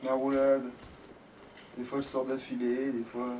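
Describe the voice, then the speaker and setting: A man's voice: mid-range voice, monotone, normal speed, a little mellow. A man giving casual let's play commentary on a video game, grumbling sarcastically and swearing freely. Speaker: let's player. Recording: slightly distant mic with faint noise.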